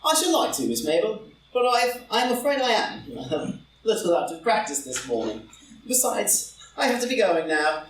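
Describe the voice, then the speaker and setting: A man playing a witty, idle English lord and loyal friend in a live reading of a stage comedy, speaking haltingly with pauses. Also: high-pitched, sing-song. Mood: light, teasing. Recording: roomy, distant, noisy live group recording.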